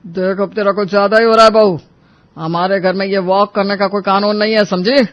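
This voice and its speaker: A man playing a stern, scolding older father-in-law in a Hindi animated moral story, indignant and domineering. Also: high voice, brisk. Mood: irritated. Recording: dull, lo-fi audio.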